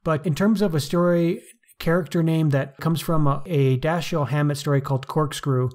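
A man's voice: fairly high pitch, steady tone, normal speed, a little mellow. A man co-hosting a podcast, discussing books casually in an articulate, wry way. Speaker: podcast co-host.

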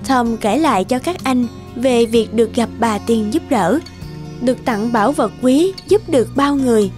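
Nữ kể chuyện cổ tích, giọng khá cao. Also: female voice, on the high side, moderate pace, a little mellow. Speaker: narrator. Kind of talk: scripted fairy-tale narration. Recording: music under the voice. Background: background music.

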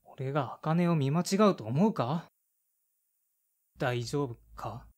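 男性が演じるぶっきらぼうな三十代の男. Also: male voice, mid-range voice, slow pace, a little mellow. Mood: defensive. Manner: casual, blunt speech. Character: blunt, awkward man of thirty-five, brooding homecomer. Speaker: lead character and narrator. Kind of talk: audio drama dialogue and first-person narration.

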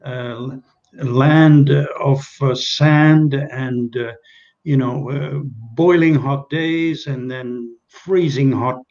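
A man's voice: medium pitch, fairly even intonation, slow, darkish tone, highs cut off. An older man from Kenya whose first language is Czech, speaking as a guest on a video call interview and trailing off into many hesitations and restarts. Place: small indoor room at home. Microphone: webcam on a video call.

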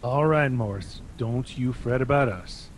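A man's voice: mid-range voice, measured pace, natural voice. An actor playing a stubborn, curious, jumpy older father in a radio drama. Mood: easygoing, pondering. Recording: faint background noise and ambience.

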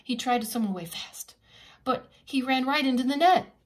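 Expressive woman reading a picture book aloud. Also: high voice, moderate pace. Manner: expressive, teacherly, adding simple asides. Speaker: preschool teacher reading aloud.

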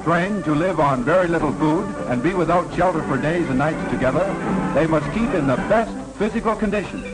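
A man's voice: a little high, expressive, brisk, low-quality recording. A man narrating a scripted 1940s newsreel in a formal, declamatory manner on a vintage film soundtrack. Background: loud orchestral newsreel music.

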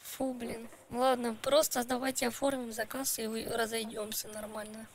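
A man's voice: very high voice, monotone, normal speed, vocal fry, crowd noise. A man voicing a whiny, stammering boy who makes a prank call and thinks he is special. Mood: sulky. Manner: stammering, sulky, petulant. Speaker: prank caller. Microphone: handheld mic.

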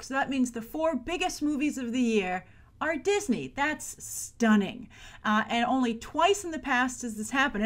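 An American woman in her thirties with a fairly high voice presents movie business commentary. She talks chattily to the camera as a show host, leaning hard on key words and stretching some of them out for emphasis.

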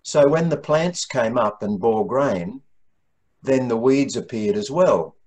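An older man reading scripture in a measured, solemn manner as the Gospel reader at a church service. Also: mid-range voice, lively intonation, darkish tone, low-quality recording. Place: small room at home, indoors.

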